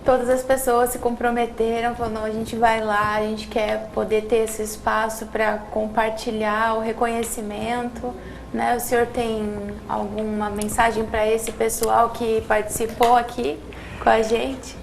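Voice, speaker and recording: A woman's voice: fairly high pitch, level tone, unhurried, creaky, slight background noise, low-quality recording. A woman with a Brazilian accent hosting an interview, addressing her guest politely and respectfully. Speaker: host.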